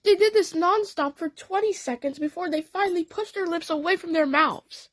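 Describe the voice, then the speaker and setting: High-voiced woman narrating a story. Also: normal speed, dry recording. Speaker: narrator and voice actor. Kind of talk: dramatic first-person story narration.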